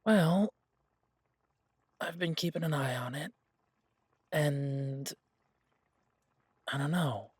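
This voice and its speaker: Husky, casual boyfriend chef played by a man. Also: a little high, no echo, studio quality, full character voice. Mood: earnest, reassuring. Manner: casual, halting, reassuring. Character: easygoing, earnest boyfriend, a chef in a fantasy world. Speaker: audio roleplay performer. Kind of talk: intimate one-sided audio roleplay, conversational.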